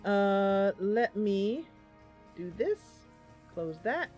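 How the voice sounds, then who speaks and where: A woman's voice: mid-range voice, big pitch swings, very slow, a little mellow, faint music behind. A woman streaming a game live, chatting casually and affectionately with her viewers.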